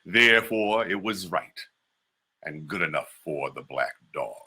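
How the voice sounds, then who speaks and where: Man, very low voice, forceful tone, slow deliberate reading of an old memoir. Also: animated, husky. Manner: measured, deliberate delivery with slowed emphases. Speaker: reader. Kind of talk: dramatic reading of an 18th-century memoir.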